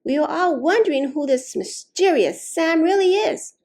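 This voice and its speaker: A woman voicing a kindly, enthusiastic head librarian in a storybook, polite, encouraging and deliberate. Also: high-pitched, lively intonation, a little mellow, voice pushed up. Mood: warm, admiring. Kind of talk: storybook read-aloud.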